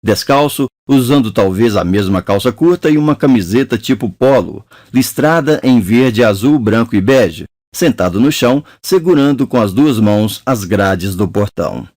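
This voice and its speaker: Guy reading an audiobook, mid-pitched voice, steady and measured. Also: no echo, clean studio sound. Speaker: audiobook narrator. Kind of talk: scripted audiobook narration.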